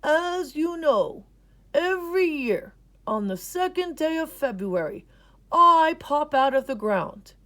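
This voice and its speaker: A woman voicing an elderly grandfather groundhog in a children's storybook, a proud, folksy announcer proclaiming slowly and loudly. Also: sits high, big pitch swings, voice pushed up, full character voice. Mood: grand, announcing. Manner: slow, loud, proclaiming. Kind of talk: children's storybook read-aloud.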